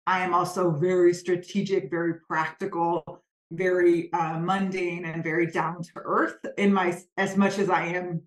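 Guest coach, low-pitched, thoughtful delivery, recorded in an echoey room. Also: female voice, level tone, moderate pace. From Denmark. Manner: earnest, reflective, searching for words. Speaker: guest, spiritual business coach. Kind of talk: conversational interview.

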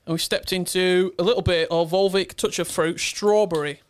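High-voiced podcast co-host. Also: male voice, steady tone, normal speed, clean tone. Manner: upbeat, introduces guest with hype. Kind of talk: casual podcast chat.

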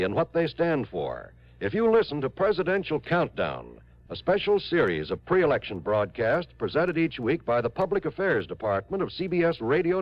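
An American man reading scripted announcements as a mid-century radio announcer. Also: medium pitch. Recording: muffled old radio recording, faint music.

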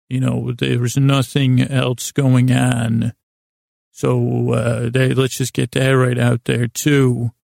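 A man with a medium-pitched voice full of vocal fry, recorded very dry. He delivers a bedtime monologue as a podcast host, stumbling and doubling back through false starts. His manner is absurdist and self-deprecating, wandering from one half-finished thought to the next. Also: darkish tone, dry recording. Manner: halting, meandering, self-interrupting with false starts.

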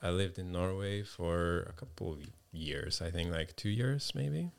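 Male podcast guest from Lithuania, very low and clear voice, casual, self-deprecating storyteller. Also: a little slow.

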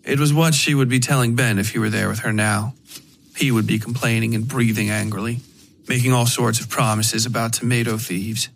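A man reading dark fiction as a scripted narration, in a measured way with dramatic pauses. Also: medium pitch, fairly even intonation, vocal fry, slight background noise, rough recording. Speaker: narrator of a horror fiction podcast.

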